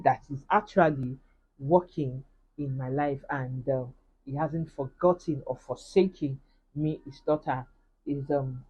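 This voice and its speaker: A woman's voice: deep voice, slightly rough, lo-fi sound. A woman with a Nigerian accent, earnestly sharing a personal faith testimony in a casual vlog and speaking straight to her viewers. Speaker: vlogger.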